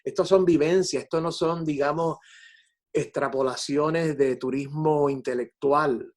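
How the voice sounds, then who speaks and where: An older man with a Puerto Rican Spanish accent, a composer reflecting in an interview, haltingly repeating words as he explains. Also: on the high side, fairly even intonation, a little mellow. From Puerto Rico.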